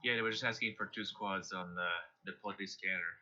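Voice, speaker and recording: A man's voice: sits low, steady tone, brisk, pushed and bright. A man contributing casual, speculative commentary to a live news stream over dull lo-fi audio.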